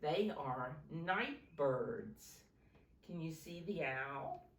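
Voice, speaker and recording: A woman with a low voice reads and explains to small children like a preschool teacher. Her pitch moves expressively as she takes her time and asks simple questions. The sound is roomy and a little distant from the mic. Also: animated, very slow. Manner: simple, instructive, often questioning the listeners. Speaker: preschool teacher reading aloud.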